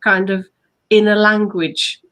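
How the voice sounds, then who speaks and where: A woman's voice: medium pitch, level tone, slow, a bit bright. An English woman co-hosting a casual online chat, playful and fond of telling stories. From England. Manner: chatty, playful, storytelling. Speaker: author and hypnotherapist co-host.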